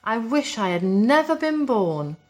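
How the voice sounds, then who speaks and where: A woman's voice: on the high side, big pitch swings, a little slow. Woman as a singsong, dreamy toy rocking horse. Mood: wistful, weary. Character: wistful male toy rocking horse, easily contented, dreamy.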